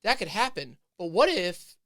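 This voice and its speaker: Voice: medium pitch, big pitch swings, normal speed, a bit bright, no echo, studio quality. A podcast host thinking aloud in casual chat, rambling with many fillers and restarts.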